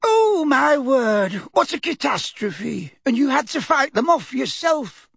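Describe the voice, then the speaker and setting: A narrator voicing a frantic, fussy elderly woman neighbor who scolds and frets in a high, wavering voice. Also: vocal fry, husky, no echo, studio quality, exaggerated voice. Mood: fussing. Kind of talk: audiobook character voice.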